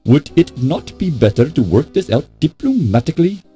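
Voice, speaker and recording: An actor voicing a formal, polite but insistent Egyptian official in a video game. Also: male voice, a little high, very animated, dark tone. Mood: pleading, diplomatic. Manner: courteous, formal, measured diplomacy. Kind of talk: theatrical video game voice acting. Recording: music under the voice. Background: synthesized game music.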